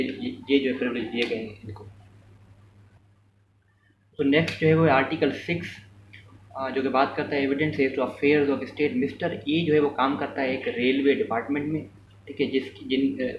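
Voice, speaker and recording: An echoey, slightly distant recording of a man with a medium-pitched voice teaching a class. He explains informally, step by step, repeating and rephrasing his points. English terms are mixed into his speech throughout. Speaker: law lecturer. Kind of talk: informal explanatory law lesson with hypothetical examples. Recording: echoey room, distant mic, muffled, faint noise.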